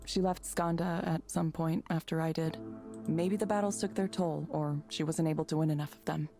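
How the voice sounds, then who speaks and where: A reserved, thoughtful woman in a visual novel. Her voice is low and her delivery understated and measured. Music is clearly audible behind her. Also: fairly even intonation. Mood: subdued, guarded. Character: reserved, thoughtful woman, a former engineer turned counsellor. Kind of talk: visual novel game voice acting.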